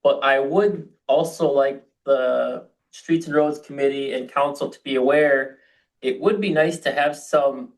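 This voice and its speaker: Male municipal staffer, average pitch, informal meeting remarks. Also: rough recording. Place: council meeting room.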